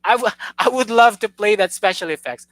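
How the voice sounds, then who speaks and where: A woman's voice: mid-range voice, brisk, dry recording. A young woman hosting a live stream, talking earnestly and gushing, often restarting her sentences. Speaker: YouTube host. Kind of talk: casual live-stream chat.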